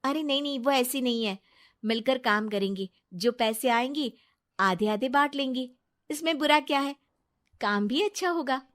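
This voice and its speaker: A woman voicing a naive, soft-hearted young wife, earnest and pleading, in a moral story. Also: sits high, moderate pace, voice pushed up. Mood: reassuring.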